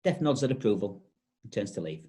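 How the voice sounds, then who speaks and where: A man's voice: on the low side, sing-song, a little fast, warm tone. A man reading stage directions as scripted narration.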